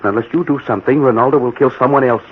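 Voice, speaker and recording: A man's voice: medium pitch, very fast, natural voice. An actor playing a frightened, earnest young man in an old-time radio drama, anxious and pleading. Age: young adult. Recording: muffled, hissy old radio recording.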